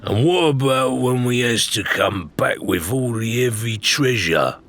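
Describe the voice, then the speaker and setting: A man's voice: mid-range voice, animated, unhurried, a bit bright, voice pushed up. A man playing a stubborn, cocky male pirate in an audiobook, with blunt, slangy speech. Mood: stubborn, wary.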